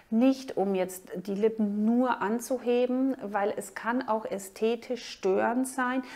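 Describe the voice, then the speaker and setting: A woman plastic surgeon with a German accent, calmly explaining a cosmetic procedure to the camera. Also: on the high side. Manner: calm, measured and informative, points while explaining.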